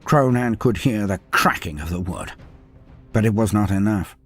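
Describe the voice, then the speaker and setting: A man's voice: on the low side, expressive, normal speed, husky, faint music behind. A man reading a fantasy story aloud with a dramatic delivery, his voice rising and falling through the telling. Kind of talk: scripted fantasy story narration.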